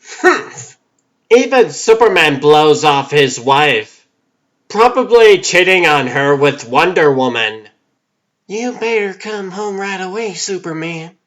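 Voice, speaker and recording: Slow, bitter, fed-up wife as acted out. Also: medium pitch, expressive, fairly bright tone. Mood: scornful. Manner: scornful, sarcastic. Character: Shannon Becker, bitter, fed-up suburban wife. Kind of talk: comic-book dub acting.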